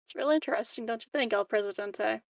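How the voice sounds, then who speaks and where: A woman's voice: a little high, fast, gravelly, no room sound. A woman chatting casually as a player in a tabletop roleplaying game, partly in character.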